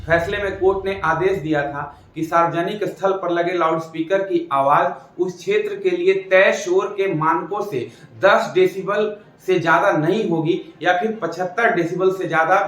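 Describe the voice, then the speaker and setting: Higher-pitched man narrating news in roomy echo. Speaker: presenter. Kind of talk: scripted news commentary narration. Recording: roomy echo with music behind. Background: background music.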